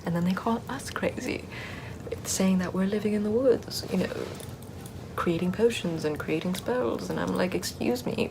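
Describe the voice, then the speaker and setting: A woman playing a sardonic Puritan-era forest witch in a soft, rambling, mostly whispered ASMR roleplay. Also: mid-range voice, noisy background, lightly acted. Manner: rambling, conversational, repeats words softly.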